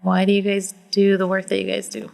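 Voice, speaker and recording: A woman's voice: medium pitch, monotone, a little fast, vocal fry, darkish tone. A woman in her forties from Alaska, chatting casually in an informal, encouraging way. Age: 40s. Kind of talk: casual conversation.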